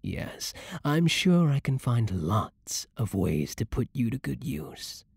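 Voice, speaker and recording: A man playing a seductive, menacing vampire lord in an ASMR roleplay, with a breathy voice and a silky, theatrical, condescending manner. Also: mid-range voice, sing-song, darkish tone, dry recording, studio quality, full character voice. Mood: seductive, possessive. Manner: slow, theatrical, silky condescension with dropping murmurs. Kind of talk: ASMR roleplay, scripted monologue to the listener.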